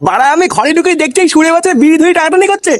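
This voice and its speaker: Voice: very high voice, rapid-fire. A performer voicing a hot-tempered, foul-mouthed father in a comedy cartoon, ranting indignantly. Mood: furious.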